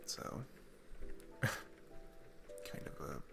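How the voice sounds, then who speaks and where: A man playing a shy, sweet single dad smitten with his babysitter, speaking gently and haltingly in a romantic ASMR roleplay. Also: music in the background. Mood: earnest, tender.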